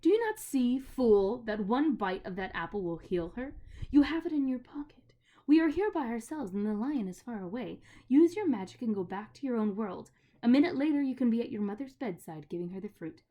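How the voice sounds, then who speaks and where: A woman reading aloud voices a proud, menacing witch queen who commands and then coaxes in a hushed voice. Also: medium pitch, expressive, fairly quick, lo-fi sound, natural voice. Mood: tempting.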